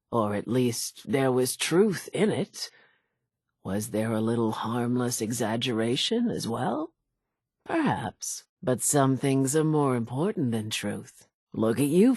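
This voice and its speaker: Slow, husky, vengeful old island woman. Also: rumbling low, a little mellow, no echo, studio quality, full character voice. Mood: sly, persuasive. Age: older. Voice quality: husky, aged, weathered voice. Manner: deliberate, storytelling, folksy. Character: vengeful, folksy old islander woman, last of her family. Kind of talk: video game character dialogue.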